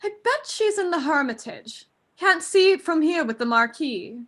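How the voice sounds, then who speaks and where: A chatty young lady of the manor in a stage play, acted by a young woman. Her high voice swings animatedly, and she gossips breezily with a bright edge. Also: animated, normal speed. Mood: chatty, playful. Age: young adult. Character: chatty, breezy young daughter of a country house.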